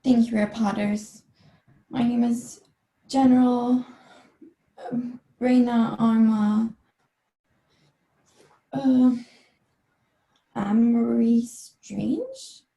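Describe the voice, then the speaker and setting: Bumbling, halting female general played by a student, roomy sound. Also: on the high side, steady tone, creaky, mellow, exaggerated voice. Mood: halting, confused. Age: young adult. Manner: halting, stumbling over words. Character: bumbling female army general misreading her script. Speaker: student actor. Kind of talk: comedic radio play acting. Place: bedroom at home. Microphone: laptop on a video call. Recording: roomy, echoey bedroom sound.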